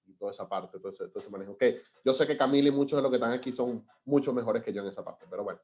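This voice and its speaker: A man's voice: mid-range voice, racing, a little mellow. Venezuelan man presenting, full of fillers. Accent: Venezuelan Spanish. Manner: hesitant, many fillers and restarts. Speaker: government data analyst presenting. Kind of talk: webinar presentation. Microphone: video call.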